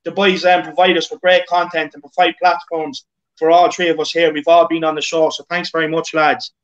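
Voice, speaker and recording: A man's voice: on the high side, flat delivery, a little fast, dull audio. A man with an Irish accent hosting a casual live football chat, reading out viewer comments with lots of fillers and stammered restarts. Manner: reads out live viewer comments, filler-heavy, repeats words. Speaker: host.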